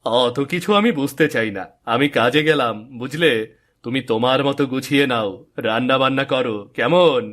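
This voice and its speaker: A man playing an eager, superstitious and lazy young farmer in a cartoon moral tale, with an excitable, insistent, wheedling way of talking. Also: medium pitch, normal speed, close to an everyday voice. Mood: gleeful, dismissive.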